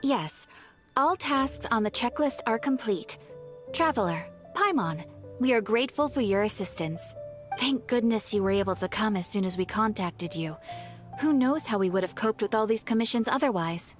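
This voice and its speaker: A woman plays a courteous guild receptionist from a fantasy game. Her voice is fairly high, with formal, businesslike phrasing, and light music plays behind it. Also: lively intonation, moderate pace, lightly acted. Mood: polite, composed. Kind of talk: video game voice acting.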